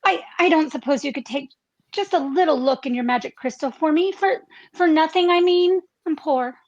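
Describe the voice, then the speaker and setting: A very high, girlish voice from a woman acting as an anxious, wide-eyed farm girl in a radio play. She is earnest and breathless, stumbling and repeating her words. The recording is dull and muffled. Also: natural voice. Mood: timid, hopeful. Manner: breathless, halting, repeating phrases.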